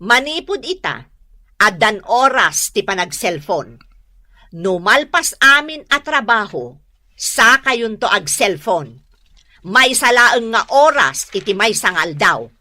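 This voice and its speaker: A woman's voice: mid-range voice, sing-song, takes it slowly, sharp tone, no room sound, studio quality, heavily acted. A woman acting a strict, nagging mother in an Ilocano radio drama, scolding with emphasis. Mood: stern. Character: strict nagging mother laying down household phone rules.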